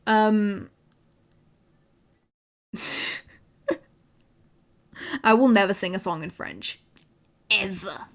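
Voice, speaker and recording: A young woman with an English accent, chatting casually on a livestream, often repeating words and filling pauses. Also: medium pitch. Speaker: VTuber streamer.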